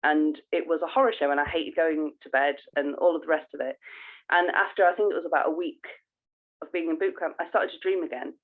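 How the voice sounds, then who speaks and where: A woman's voice: sits low, steady tone, a little fast. A woman co-hosting a podcast, chatting casually in an earnest, effusive manner. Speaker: podcast co-host. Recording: tinny phone-like call audio.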